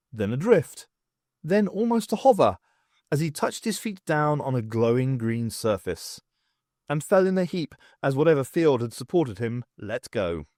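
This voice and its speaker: Man narrating an audiobook, dry sound. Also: dry recording. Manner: expressive storytelling with lively shifts in pitch. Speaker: audiobook reader. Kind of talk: scripted narration of a novel.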